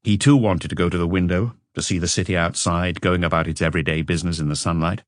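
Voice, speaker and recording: A man's voice: low voice, steady tone, fast talker, no echo, clean studio sound. A man reading a mystery novel as a scripted audiobook narration.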